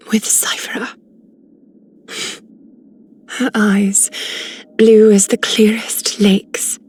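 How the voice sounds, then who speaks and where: Game heroine: breathy, unhurried, solemn, gentle, wistful. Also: female voice, mid-range voice. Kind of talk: video game character voice lines.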